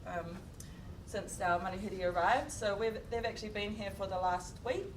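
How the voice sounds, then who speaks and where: A woman hosting and welcoming a live audience, talking in a relaxed way with many fillers. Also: medium pitch, moderate pace. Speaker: event host. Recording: distant mic in a noisy room.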